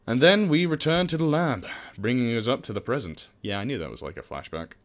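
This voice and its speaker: A man's voice: mid-range voice, animated, a little fast. A young man casually reading a visual novel aloud for a let's play. He jokes, swears and mocks himself as he stumbles over words.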